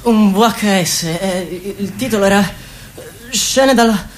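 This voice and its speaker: A woman's voice: medium pitch, slow, lightly acted. A woman playing an anxious, self-effacing male political expert in a radio drama, hesitant and stammering. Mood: flustered. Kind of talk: theatrical radio drama monologue. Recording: echoey room with background noise.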